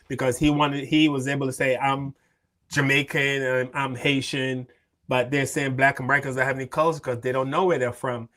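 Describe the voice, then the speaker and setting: A man's voice: mid-range voice, bright, forceful tone. A man from Barbados hosting a podcast, talking casually and asking questions, repeating "why isn't that enough?". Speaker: podcast host. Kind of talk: casual opinionated discussion.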